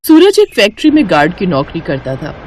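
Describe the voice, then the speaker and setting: A woman's voice: on the low side, wildly swooping pitch, fairly quick, a little mellow, slight background noise. A woman narrating a scripted moral story as the storyteller.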